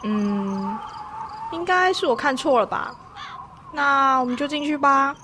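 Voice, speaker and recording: A woman's voice: sits high, measured pace. A woman playing a sensible, level-headed schoolgirl with a Taiwanese accent in a student radio drama. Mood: uneasy, wondering. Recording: noisy amateur radio drama recording.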